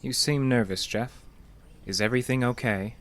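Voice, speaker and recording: An actor in an audio drama playing a composed, probing male psychotherapist with a measured, dry, clinical manner. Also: mid-range voice, a bit bright, lightly acted. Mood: needling, controlled.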